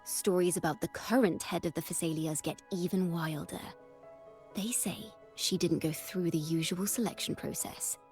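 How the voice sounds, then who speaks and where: A woman's voice: fairly low pitch, fairly even intonation, moderate pace, darkish tone, soft background music, close to an everyday voice. An actress voicing a worldly woman informant in a video game, sharing rumours in a conspiratorial, cautioning way. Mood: gossipy, ominous. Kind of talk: video game dialogue acting.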